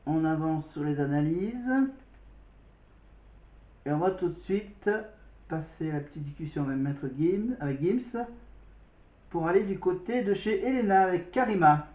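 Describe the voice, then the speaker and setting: A man's voice: fairly high pitch, expressive, measured pace, long pauses. A man hosting a vlog, talking casually and directly to viewers with quiz questions and commentary, often repeating himself. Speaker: vlog host. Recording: dull, roomy sound.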